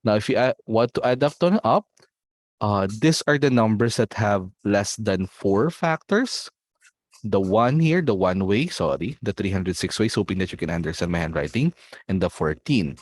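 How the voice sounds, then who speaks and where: A man's voice: on the low side, animated, normal speed, dry recording. Filipino man teaching math online. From Philippines. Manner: explains step by step, mixing in Tagalog words, checks in with students. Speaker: math olympiad trainer.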